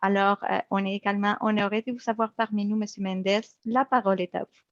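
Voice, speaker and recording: A woman's voice: medium pitch, steady tone, fairly quick, dry recording. A woman moderating a webinar, formally reading out a prepared introduction of the guest speaker. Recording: dull lo-fi web audio.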